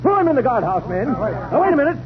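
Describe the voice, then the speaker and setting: Furious commanding general, indignant barking, very high animated voice, 1930s radio comedy, dull noisy recording. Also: male voice, fast, crowd noise. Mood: outraged. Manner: barking orders, indignant, theatrical.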